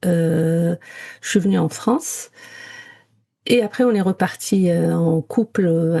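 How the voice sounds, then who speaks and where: A woman's voice: mid-range voice, gravelly, mellow. A woman from Morocco talking casually and reflectively as a podcast co-host, searching for her words and mixing in English words. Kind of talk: casual reflective conversation, personal storytelling.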